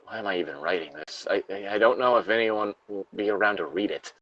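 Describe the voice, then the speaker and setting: Dying, trapped workman played by a man, low voice, weary and halting, dry dramatic diary reading. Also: fairly bright tone, dry recording, lo-fi sound. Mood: distraught. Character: dying, despairing male factory worker trapped under rubble.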